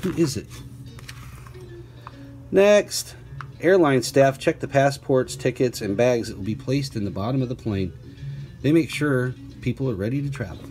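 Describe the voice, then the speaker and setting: Casting: male storyteller, mid-pitched, deliberate children's read-aloud with chatty asides and playful questions, music underneath. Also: animated, darkish tone. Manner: clear, deliberate reading with playful questions to young listeners. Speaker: teacher reading a picture book aloud.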